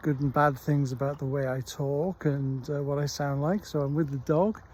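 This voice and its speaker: Middle-aged Welsh man, slightly high voice, mellow tone, rambling walk-and-talk, phone held in hand outdoors. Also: steady tone. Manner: rambling, unhurried chat with frequent pauses. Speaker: vlogger. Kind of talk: casual walking vlog. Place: outdoors on a countryside dog walk. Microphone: handheld phone.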